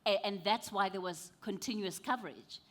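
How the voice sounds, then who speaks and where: A woman moderating a panel discussion, asking questions in a measured, thoughtful way. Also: medium pitch.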